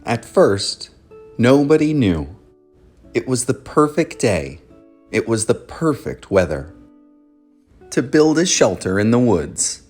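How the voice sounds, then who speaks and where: A man hosting a children's read-aloud, narrating from a script in a measured, clearly phrased way. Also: mid-range voice, sing-song, a little mellow, soft background music.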